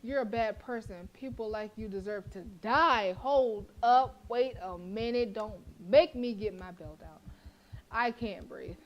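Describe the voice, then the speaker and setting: A woman's voice: fairly high pitch, measured pace, dull audio. A woman streamer who reads a horror visual novel aloud in a dramatic way and breaks off for profane, joking asides.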